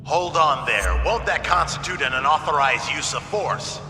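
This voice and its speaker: An actor playing a reasoned, defensive politician in a video game, arguing earnestly and articulately. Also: male voice, fairly high pitch, animated, moderate pace, bright, forceful tone, soft background music, heavily acted. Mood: earnest objection. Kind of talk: video game cutscene debate. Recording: echoing hall.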